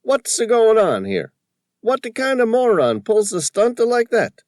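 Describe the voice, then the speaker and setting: Guy doing a high loud old shop owner, all riled up and folksy, dry sound. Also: huge pitch swings, a little slow, dry recording, full character voice. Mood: indignant. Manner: indignant, rough folksy speech.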